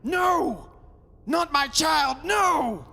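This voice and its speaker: A man's voice: very high voice, animated, extremely slow, vocal fry, bright, forceful tone, raised voice, full character voice. An actor playing a desperate, anguished father in an old-time style radio horror drama. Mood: horrified. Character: desperate, anguished father trying to rescue his daughter. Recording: hissy hall recording with long reverb.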